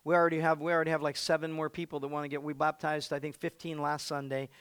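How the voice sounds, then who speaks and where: A man preaching with a high, bright, ringing voice that swings from playful joking to shouted exhortation. He plays to his congregation with an energetic, punchy delivery. The sound is close and dry. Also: quick pace, smooth, no room sound. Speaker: pastor. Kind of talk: energetic sermon.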